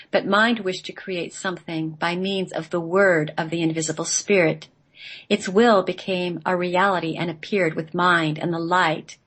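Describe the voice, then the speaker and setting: A woman reading radio play narration in a measured, solemn manner. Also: lowish voice, fairly bright tone. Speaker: guest, playwright reading own play.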